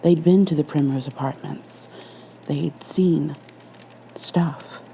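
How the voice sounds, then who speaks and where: A woman reading a creepy story in a soft, scripted ASMR narration style. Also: sits low, takes it slowly, gravelly, slight background noise.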